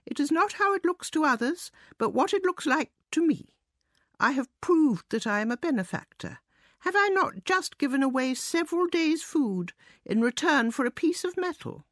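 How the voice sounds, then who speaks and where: Woman voicing a high-pitched pompous little male mouse. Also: lively intonation, normal speed, a bit bright, no room sound, clean studio sound. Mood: self-satisfied. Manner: pompous, declamatory. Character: small pompous male mouse, indignant and self-important. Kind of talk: storybook character voice.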